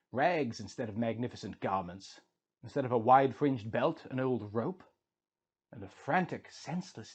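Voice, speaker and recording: A man voicing a solemn ancient immortal sage who admonishes in a grave, oratorical reading of an epic. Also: on the high side. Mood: grave, reproachful.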